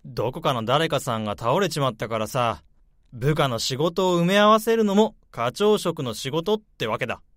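A man's voice: medium pitch, expressive, normal speed, a bit bright, big-room sound, lightly acted. An actor playing a young male section chief with a guilty conscience in a radio drama. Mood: breezy. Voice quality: breezy at first, then anguished inner monologue.

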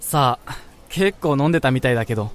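A man's voice: medium pitch, lively intonation, brisk, natural voice. A man playing a considerate, mild-mannered young friend who gives brief replies in a radio drama. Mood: concerned.

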